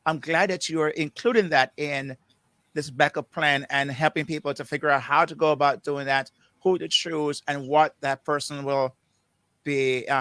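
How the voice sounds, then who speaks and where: A man's voice: mid-range voice, bright, forceful tone, dry recording. A man hosting an interview, affirming his guest and repeating words as he thinks aloud. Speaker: interview host. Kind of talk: casual interview chat.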